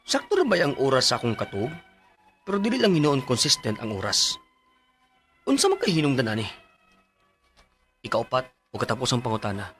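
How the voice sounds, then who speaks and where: An anxious man who is a patient in a Bisaya radio drama, with a Cebuano accent. His voice is bright and forceful and moves up and down freely, with music softly behind it. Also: medium pitch, wildly swooping pitch, fairly quick, long pauses. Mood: troubled, pleading. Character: anxious male patient with high blood pressure, letter-sender. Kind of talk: Bisaya radio drama acting and letter narration.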